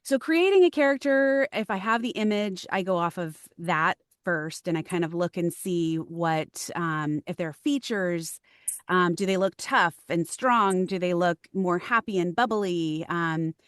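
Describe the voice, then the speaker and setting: A woman's voice: on the low side, a little slow, clean tone, dry recording, clean studio sound. A woman voiceover actress, a podcast guest, talking through her craft in casual interview chat, thoughtful, with many ums.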